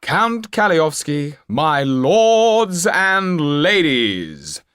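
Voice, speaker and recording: A man's voice: on the high side, big pitch swings, drawn-out words, a bit bright, clear, resonant tone, dry recording, studio quality, pitched above the performer's own voice, heavily acted. A man voicing a flamboyant stage magician who proclaims theatrically. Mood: grandly announcing. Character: flamboyant stage magician, showman with grand flourishes.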